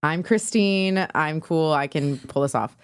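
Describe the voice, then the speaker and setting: A woman guest on a podcast tells a casual story in a chatty, conversational way. Her voice sits fairly low, and the sound is dry with little room around it. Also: a little slow, clean tone, dry recording. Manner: chatty, peppered with "like", laughing as she talks.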